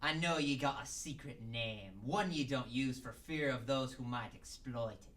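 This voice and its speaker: An actor playing a crooked, mocking, hot-tempered pirate captain in a radio drama, speaking in thick stage-pirate dialect. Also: male voice, mid-range voice, moderate pace, fairly bright tone, recorded from a distance, close to an everyday voice. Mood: sly, taunting.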